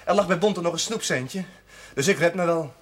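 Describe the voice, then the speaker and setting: A man's voice: a little high, normal speed, natural voice. An actor playing a bitter, tormented young male sinner in a television drama, sarcastic and desperate, swinging from muttering to outbursts. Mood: cynical, subdued. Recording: noisy 1970s TV soundtrack.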